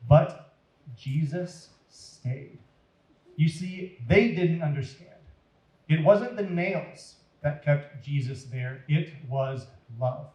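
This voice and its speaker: A man reads aloud to young listeners in a roomy, echoing space. His voice sits slightly high, and he takes his time. The delivery is deliberate and dramatic, shaped for children. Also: darkish tone. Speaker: children's storyteller at a church. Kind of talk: expressive read-aloud to children. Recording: roomy echo.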